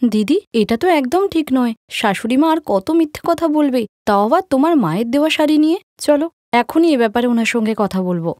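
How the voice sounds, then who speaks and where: A woman's voice: high voice, lively intonation, a little fast, a little mellow, dry recording, clean studio sound. A woman voicing an outspoken, sly young daughter-in-law in a cartoon story, pointed and indignant.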